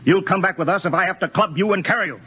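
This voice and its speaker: An actor playing a stern, emphatic spaceship captain in a 1950s radio drama. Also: male voice, sits high, rapid-fire, slightly rough. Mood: stern, alarmed. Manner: commanding, emphatic. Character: stern male spaceship captain, softened by family reunion. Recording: muffled old 1950s radio broadcast, music behind.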